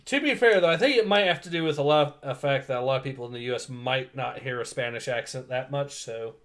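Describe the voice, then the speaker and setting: A man's voice: medium pitch, fast, fairly bright tone. Male livestream host, rambly, laughs a lot, reads chat casually. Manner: rambling, laughs often, many fillers.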